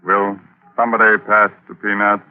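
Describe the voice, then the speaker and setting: An actor playing a mocking, darkly playful horror host on 1940s radio, teasing and sardonic. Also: male voice, fairly low pitch, little pitch movement, normal speed, close to an everyday voice. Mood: gleefully macabre. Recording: dull 1940s radio recording. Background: music.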